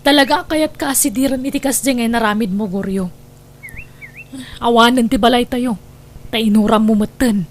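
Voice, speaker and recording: Woman acting a high-voiced, plaintive wronged wife. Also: normal speed, pauses often, a little hiss or hum, natural voice. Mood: hurt, reproachful. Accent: Ilocano. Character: distraught, reproachful wife wronged by her husband. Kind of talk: audio drama acting.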